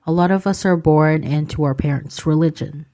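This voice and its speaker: Filipina woman vlogging, low voice, warm and mellow, calm with lots of ums. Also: moderate pace. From Philippines. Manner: calm, informative, frequent "um" fillers. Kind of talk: explaining culture to camera.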